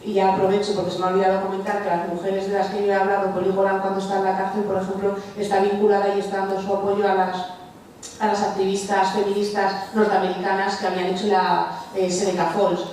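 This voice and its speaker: A young woman on an academic panel asks a fellow speaker a question, talking quickly and correcting herself as she goes. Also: mid-range voice, a little hiss or hum. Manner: quick, flat delivery with self-corrections. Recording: distant, very echoey lecture hall.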